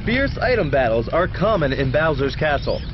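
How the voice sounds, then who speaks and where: A man playing an excited, showy race announcer in an arcade racing game, drawing out names for emphasis. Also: fairly high pitch, moderate pace, background noise. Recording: muffled lo-fi game audio under loud music. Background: loud game music.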